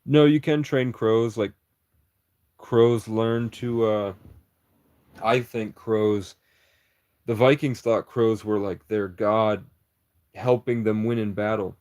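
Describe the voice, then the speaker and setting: Man, lowish voice, livestreamer riffing at chat, conspiratorial and meandering, cuts himself off a lot. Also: fairly even intonation, slow pace, long pauses, a little mellow.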